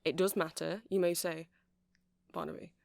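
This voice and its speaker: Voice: mid-range voice, normal speed, creaky, dry recording, clean studio sound, close to an everyday voice. A performer voicing a chatty, nerdy male vampire shopkeeper in an ASMR roleplay, rambling hesitantly and apologetically as they explain things. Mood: helpful, unsure. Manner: hesitant, rambling, self-correcting. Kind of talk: ASMR roleplay, casual rambling sales chat.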